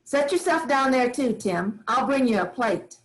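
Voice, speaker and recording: A woman's voice: high voice, expressive, measured pace, poor audio. A woman reading the part of a stern rural grandmother, in a staged play reading. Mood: coaxing. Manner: folksy, firm, drawling country talk. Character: stern rural grandmother, family matriarch, firm.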